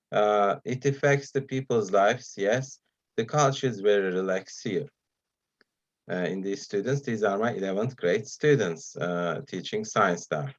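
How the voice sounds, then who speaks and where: A man whose first language is Turkish gives an online talk as guest speaker, pausing often with fillers between phrases. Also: fairly low pitch, highs cut off. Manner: hesitant, many pauses and fillers.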